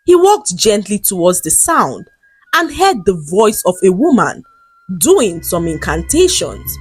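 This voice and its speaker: A woman narrates a folktale in a deliberate, dramatic storytelling style. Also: medium pitch, poor audio.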